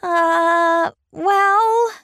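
A woman voicing an eager, girlish young palace lady in a children's story, excitable and wide-eyed. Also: very high voice, extremely slow, no room sound, clean studio sound, heavily acted. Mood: keen. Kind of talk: children's audio story acting.

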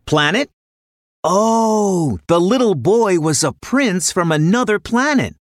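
A woman reading a scripted children's fairy tale as an expressive storyteller. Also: mid-range voice, slow, no echo, studio quality. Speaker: narrator.

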